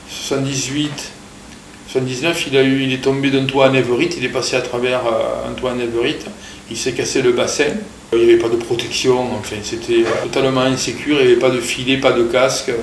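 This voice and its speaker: A man in his fifties, born in Portugal, recalling his family's past in a relaxed, halting way with many pauses and fillers. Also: medium pitch, gravelly, reverberant, a little hiss or hum, low-quality recording. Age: 50s. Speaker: interviewee telling his family's migration story. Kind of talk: casual spoken recollection.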